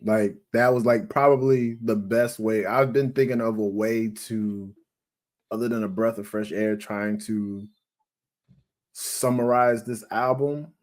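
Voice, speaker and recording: A young man co-hosting a casual music review podcast, unhurried and emphatic, often restarting his sentences. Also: lowish voice, fairly even intonation, darkish tone. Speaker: podcast co-host.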